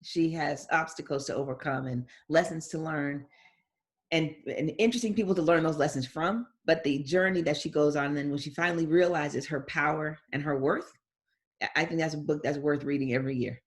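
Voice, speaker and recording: A middle-aged woman, a guest educator, answering interview questions in a measured, reflective way, phrase by phrase. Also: sits low.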